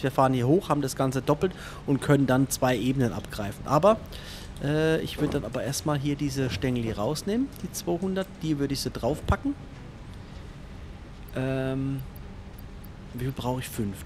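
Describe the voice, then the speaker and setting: A man's voice: mid-range voice, normal speed. A man with an ordinary mid-range voice talks his way through a video game session. He thinks aloud, counts things off and chats loosely to himself and his viewers. A faint background hiss runs under the recording. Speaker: let's play host. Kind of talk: casual gaming commentary.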